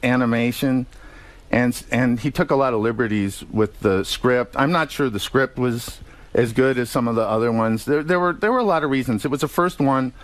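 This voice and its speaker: A male interview guest with a medium-pitched voice, recounting stories casually. His delivery is halting, full of ums, restarts and corrections as he works through each anecdote. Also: dry recording. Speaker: radio show guest, TV comedy writer-producer.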